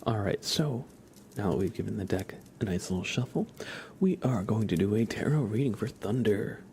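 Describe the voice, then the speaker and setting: Man, ASMR streamer, lowish pitch, soft and breathy, often whispery, gentle and unhurried, close mic, faint ambient noise. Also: animated. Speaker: livestream host. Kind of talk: ASMR livestream, chatting to viewers.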